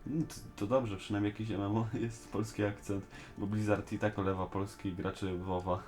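A man's voice: lowish voice, little pitch movement, moderate pace, darkish tone, faint music behind. A man hosts a casual fan chat. He talks colloquially, with bits of slang, as if discussing a favourite series with a friend. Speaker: host of a fan discussion show.

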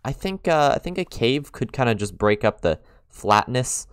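A man's voice: mid-range voice, big pitch swings, normal speed, smooth, no room sound, clean studio sound. A man talking through his gameplay in a casual, rambling way, with lots of fillers and restarts, his voice congested as he records in his room at home. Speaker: let's play host.

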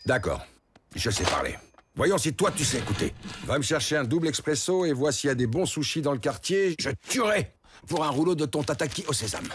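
A man voicing a bossy baby executive in a French animated film dub, pompous, commanding and businesslike. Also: a little high, a little fast, noisy background. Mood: commanding, smug. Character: bossy baby executive with an adult man's manner.

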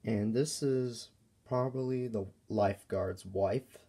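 A man giving a casual, unscripted show-and-tell as a toy reviewer, speaking in halting, broken phrases. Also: fairly low pitch, gravelly, dark tone. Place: indoors at a table by a window.

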